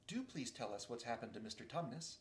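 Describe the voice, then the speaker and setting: A man doing a high, pleading young girl. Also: very animated, fairly quick, close to an everyday voice. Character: anxious, kind-hearted young girl. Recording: roomy echo.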